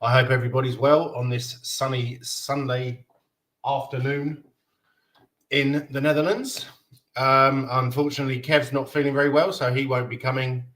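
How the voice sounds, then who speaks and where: A man's voice: medium pitch, steady tone, moderate pace, fairly bright tone. A man from Denmark hosting a casual football fan livestream, chatting in a rambling, matey way. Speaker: livestream host.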